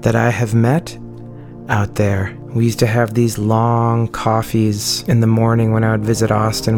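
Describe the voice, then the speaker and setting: A man hosting a podcast intro, casual and rambling, correcting himself as he goes, with his voice worn out from talking. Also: on the low side. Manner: rambling, self-correcting, chatty. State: losing his voice. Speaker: podcast host. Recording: music playing underneath.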